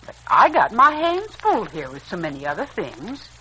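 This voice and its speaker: A woman's voice: mid-range voice, huge pitch swings, normal speed, sharp tone. A woman playing a folksy, good-natured housekeeper in a 1940s radio serial. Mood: folksy scolding. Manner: folksy, homespun phrasing. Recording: noisy old 1940s radio recording.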